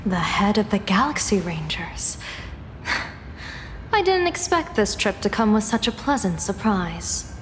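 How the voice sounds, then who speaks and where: A woman's voice: medium pitch, big pitch swings, measured pace, slight background noise. A woman voicing a stern, poised general in a game, speaking formally and imperiously. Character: commanding female general, stern, poised and accusing. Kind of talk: dramatic game voice acting. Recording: long reverb, loud music behind.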